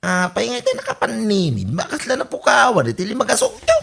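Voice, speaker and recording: A performer playing a cheeky, excitable young relative who taunts an uncle, giggly and exaggerated, in an Ilocano radio comedy drama with an Ilocano Filipino accent. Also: mid-range voice, brisk, creaky, husky, heavily acted. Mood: teasing.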